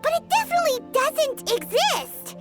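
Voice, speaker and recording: Curious little floating fairy, squeaky, very high, bouncy pitch, game music. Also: female voice, huge pitch swings, normal speed, exaggerated voice.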